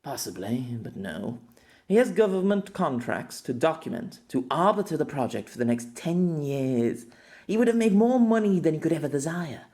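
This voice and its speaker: Man voicing a commanding woman doctor, animated. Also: a little high, normal speed, voice pushed up, close to an everyday voice. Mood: grave, insistent. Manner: authoritative, impatient, formal. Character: stern, authoritative female doctor in command.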